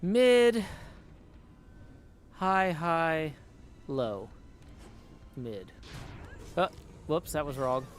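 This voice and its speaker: A man's voice: fairly high pitch, very animated, extremely slow, long pauses, a little hiss or hum. A man streaming a video game, chatting casually, muttering to himself and reading game text aloud.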